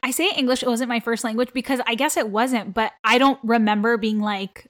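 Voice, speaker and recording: Toronto woman, armenian first language, chatty and jokey, a bit higher voice. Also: steady tone, fairly bright tone. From Toronto, Canada. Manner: chatty, joking, quick to laugh along. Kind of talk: casual chat.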